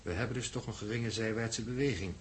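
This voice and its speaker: A man's voice: lowish voice, close to an everyday voice. Actor as precise, exacting male space navigator. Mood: focused, irritable. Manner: measured, precise, slightly irritable. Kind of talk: 1960s radio drama acting. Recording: noisy 1960s radio drama recording.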